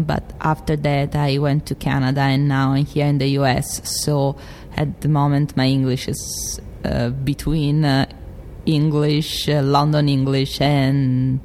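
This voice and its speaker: Brief: young Italian-accented woman, very low voice, halting self-introduction. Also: flat delivery, a little mellow, slight background noise. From Rome, Italy. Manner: halting, searching for words. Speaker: graduate student introducing herself.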